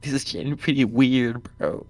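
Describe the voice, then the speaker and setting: A man's voice: medium pitch, fairly even intonation, normal speed, a little mellow, no room sound. A young man calling into a livestream, goofy and joking, making silly puns. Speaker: caller.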